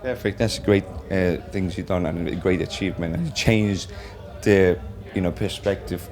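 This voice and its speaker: A man hosting a live interview at a trade fair stand, effusively polite, with halting, loosely phrased questions. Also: deep voice, darkish tone. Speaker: interviewer for a brand's web TV.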